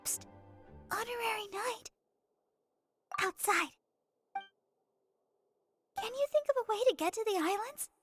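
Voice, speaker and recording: A woman voicing a sweet, gentle, helpful teenage idol girl in an anime game, girlish in tone. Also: very high-pitched, expressive, a little slow, long pauses. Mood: secretive. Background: game music.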